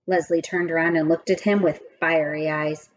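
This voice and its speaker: A woman reading a children's story aloud with expressive, deliberate and emphatic phrasing. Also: low-pitched, lo-fi sound.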